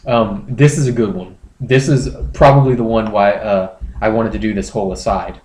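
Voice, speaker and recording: A man's voice: lowish voice, fairly even intonation, moderate pace, darkish tone. A man hosting a comedy podcast in casual banter, with a mock-serious, profane manner. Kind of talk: casual banter and readings of internet posts. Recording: roomy echo, clear background noise.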